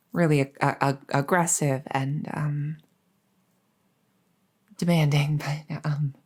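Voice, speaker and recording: A woman's voice: low-pitched, mellow. A woman playing a captured crown princess, haughty but easily flustered and coy, in a roleplay audio drama. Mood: nervous, pleading. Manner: stammering, hesitant, halting.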